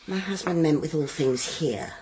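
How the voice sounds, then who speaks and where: A woman's voice: deep voice, moderate pace, noisy background, lightly acted. A woman playing a dissatisfied, prim older mother-in-law in a period radio drama, full of indignant complaint.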